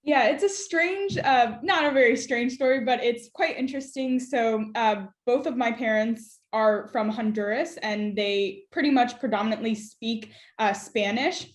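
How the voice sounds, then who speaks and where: Young woman with a bright voice, echoey room. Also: on the high side, measured pace, few pauses. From United States. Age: young adult. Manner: thoughtful, many "uh" and "um" fillers, light laughs. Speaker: student guest. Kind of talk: conversational interview.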